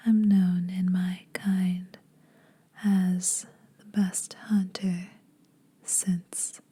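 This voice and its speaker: A woman playing a menacing, teasing vampire huntress in a soft, breathy ASMR whisper, slow, deliberate and condescending. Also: on the low side, heavily acted. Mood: smug, possessive.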